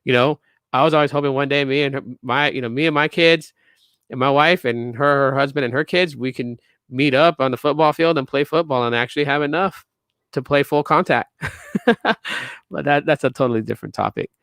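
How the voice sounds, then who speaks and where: A man's voice: medium pitch, a little fast, a bit bright, clean tone, no echo. A man in his late thirties hosting a tech podcast, ranting casually to viewers with emphatic repeats and restarts. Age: 30s. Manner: emphatic, repetitive restarts, lecturing.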